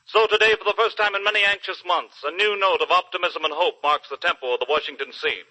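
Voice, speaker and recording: An actor playing an earnest, punchy news commentator in a 1940s radio drama. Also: male voice, high voice, steady tone, fast talker, pushed and bright, poor audio.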